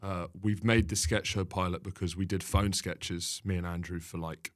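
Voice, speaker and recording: A man with a lowish voice talks in a loose, conversational way. He comes across as a comedian guest, bantering drily and laughing easily. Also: steady tone, normal speed. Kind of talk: casual conversational chat.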